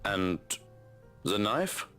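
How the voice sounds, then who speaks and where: Man voicing a low, stern, stoic butler. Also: very animated, a bit bright, smooth, full character voice. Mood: curt. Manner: formal, measured, unyielding. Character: stern, stoic butler and guard, dryly protective, formal. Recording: music under the voice.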